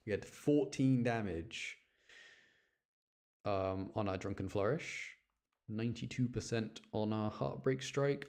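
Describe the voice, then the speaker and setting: A man's voice: on the low side, a little mellow. A young British man chatting casually and commentating on a game as he livestreams, rambling and often repeating his words and phrases. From United Kingdom. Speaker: game streamer. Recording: music playing behind the voice. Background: game music.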